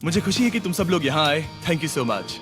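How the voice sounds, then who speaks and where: A man voicing an excitable young video host in a dubbed challenge video, hyped and commanding as he narrates and banters. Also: fairly high pitch, a little fast, natural voice. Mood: upbeat. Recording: loud music behind the voice.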